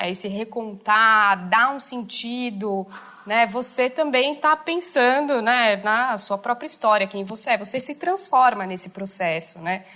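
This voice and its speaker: A woman with a fairly high voice explains a topic as a teacher would. She talks in an unscripted way, tagging sentences with quick fillers. Her tone is plainly instructive. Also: sharp tone. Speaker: educator. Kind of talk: explanatory lesson talk.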